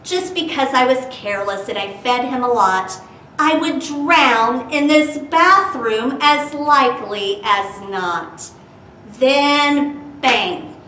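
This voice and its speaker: Bright, high-voiced woman leading children's storytime. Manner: expressive, dramatic emphasis, addressing young children. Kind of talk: animated children's storytime reading. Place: indoor room, virtual storytime. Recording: roomy, distant mic with background noise.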